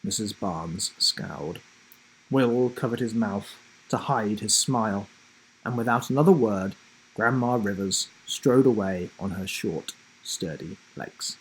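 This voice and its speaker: Male children's storyteller: unhurried, highly expressive intonation, slightly husky tone, dramatic delivery. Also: low-quality recording. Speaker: storybook reader, host. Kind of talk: animated children's story narration.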